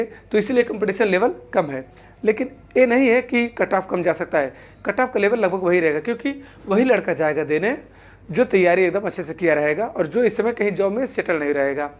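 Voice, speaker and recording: A woman presents an informal explainer to viewers, spelling out her points and repeating them with set phrases. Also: mid-range voice. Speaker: exam-news video presenter.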